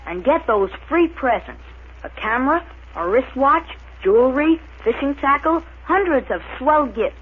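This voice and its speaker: A keen kid mascot plugging prizes on old radio, kinda slow delivery, tinny. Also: male voice, extremely high pitch, animated. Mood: eager. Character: plucky boy mascot pitching prizes to kids. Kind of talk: 1930s radio ad acting, singing jingle. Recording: tinny, lightly noisy 1930s radio recording.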